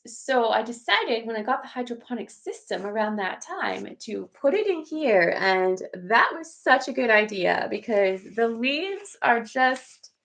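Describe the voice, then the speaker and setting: A hoarse woman, chatting casually as host of a live garden tour from her computer room, greeting viewers by name. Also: fairly high pitch, a bit bright. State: hoarse from recent illness.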